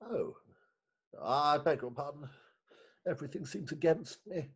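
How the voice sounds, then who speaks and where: A man's voice: a little high, lively intonation, breathy edge, heavily acted. Man acting a flustered, pompous magistrate, muffled. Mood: flustered despair. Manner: pompous, halting, theatrical. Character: flustered, pompous middle-aged male magistrate, anxious and self-pitying. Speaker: actor. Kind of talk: staged reading of stage farce. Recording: dull remote call audio.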